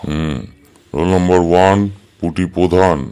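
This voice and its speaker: A man's voice: low voice, little pitch movement, mellow, clear, resonant tone, lightly acted. A man playing a stern, exasperated schoolteacher in a comedy cartoon, scolding and sarcastic. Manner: scolding, sarcastic, drawn-out hums. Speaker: cartoon voice actor. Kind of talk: Bengali comedy cartoon dub.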